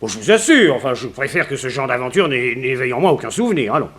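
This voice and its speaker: An actor playing an older, respectable widower who is flustered and evasive, stammering and protesting in a 1960s French radio serial. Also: male voice, mid-range voice, big pitch swings, fast talker, a bit bright. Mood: sheepish. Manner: stammering, hesitant, indignant protests.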